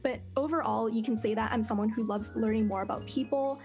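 Polished young woman, rather high voice. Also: fairly even intonation, darkish tone, soft background music. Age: young adult. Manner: polished, measured, carefully worded. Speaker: student podcaster, guest. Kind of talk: prepared self-introduction on a panel.

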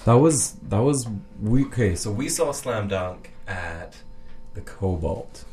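Low, dark-voiced man chatting on radio. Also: lively intonation, faint music behind. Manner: halting, repeats phrase openings. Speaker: radio show co-host. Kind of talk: casual unscripted chat. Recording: faint background noise.

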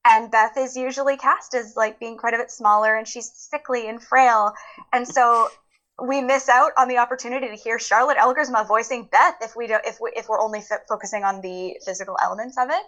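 A woman's voice: on the high side, steady tone, a little fast, a bit bright, poor audio. A woman speaking as a guest in a casual interview, chatty and emphatic in the way she talks. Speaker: guest, audio play producer.

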